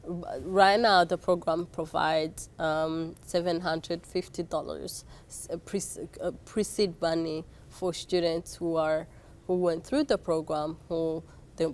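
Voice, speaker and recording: A woman's voice: fairly low pitch, darkish tone. A young adult woman from Rwanda, a program chair, answering interview questions with frequent fillers and restarts. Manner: hesitant, many fillers and restarts.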